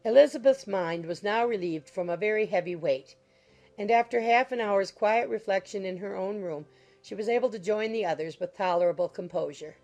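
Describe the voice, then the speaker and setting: Female narrator for a classic novel: medium register, composed literary reading, light musical bed behind. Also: medium pitch. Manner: measured, literary storytelling. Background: soft music.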